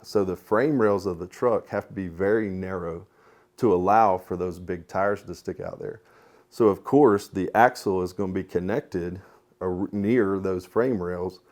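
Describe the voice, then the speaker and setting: A man with a low voice speaks as an instructor in a training talk. He is plain-spoken and methodical, laying out his explanation step by step. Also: unhurried, darkish tone. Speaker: police sergeant and crash investigator, instructor.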